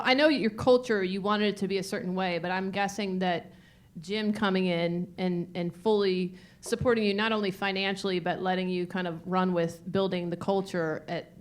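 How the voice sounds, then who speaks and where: A woman moderating an informal on-stage interview, with loose, rambling phrasing. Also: mid-range voice.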